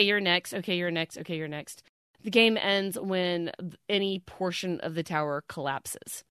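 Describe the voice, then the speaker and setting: A woman host talking through a researched topic in a casual, hesitant way, with frequent ums and throat clearing. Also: lowish voice, no room sound, studio quality.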